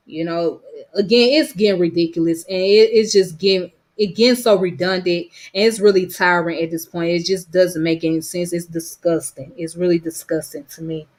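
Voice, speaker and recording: A woman's voice: on the low side, fairly even intonation, normal speed. A woman from Georgia with a strong Georgia Southern accent, talking loosely to camera in a casual vlog, her voice a little worn, full of "you know what I'm saying" fillers. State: voice slightly worn. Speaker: vlogger. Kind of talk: casual rambling monologue.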